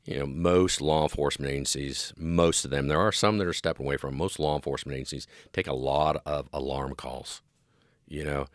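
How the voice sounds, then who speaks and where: A man's voice: extremely low pitch, fairly even intonation, fairly quick, dry recording, studio quality. A middle-aged American man explaining his field as an interview guest, earnest and emphatic in agreement. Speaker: interview guest, police dispatch specialist and former officer.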